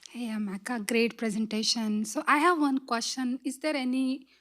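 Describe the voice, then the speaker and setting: A woman colleague in the audience asking a question about medications in halting phrasing. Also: on the high side, level tone, fairly bright tone.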